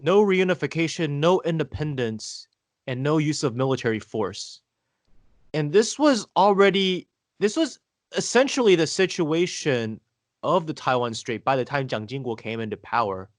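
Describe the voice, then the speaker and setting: A man hosting a podcast, explaining history in a steady way and asking his guest leading questions. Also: a little high, normal speed, no room sound, studio quality. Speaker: podcast host. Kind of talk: conversational history discussion.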